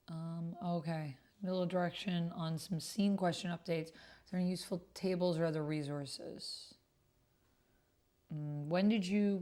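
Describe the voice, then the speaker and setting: Lower-voiced woman chatting on a livestream. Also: a little mellow. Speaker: livestream host and tabletop roleplay player. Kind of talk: casual livestream commentary mixed with reading chat messages aloud.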